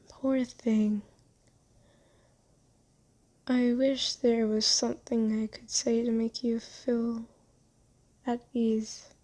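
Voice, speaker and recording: A woman voicing a kind but possessive female vampire owner in an ASMR roleplay, soothing and genteel, coaxing gently in halting phrases. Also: on the high side. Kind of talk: ASMR roleplay, scripted monologue.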